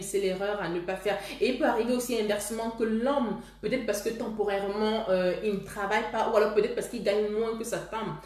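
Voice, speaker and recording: A woman's voice: mid-range voice, a little mellow. A woman from Cameroon, hosting a casual advice talk for viewers, earnest and often restarting her phrases. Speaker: host. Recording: roomy echoey room.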